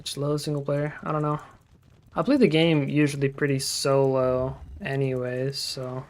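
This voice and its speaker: A man's voice: medium pitch, monotone, unhurried, slight background noise. A man from Utah with a Utah accent, a game streamer chatting casually with viewers, rambling with lots of fillers and restarts. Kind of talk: casual chat with viewers while gaming. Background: game music.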